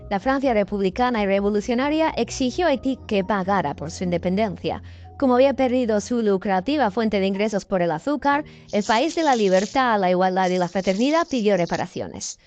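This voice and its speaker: Lady doing documentary voiceover, mid pitch, steady, faint music under it. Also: fairly quick, highs cut off. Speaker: documentary narrator. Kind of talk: scripted news narration.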